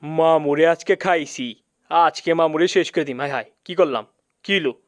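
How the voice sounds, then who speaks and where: A man plays a young mouse nephew in a cartoon, high and clean-voiced, recorded dry. He is cheeky and naive, teasing his elder in colloquial Bangladeshi speech. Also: moderate pace, dry recording, close to an everyday voice. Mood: worried. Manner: playful, repetitive, teasing. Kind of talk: comic cartoon dub.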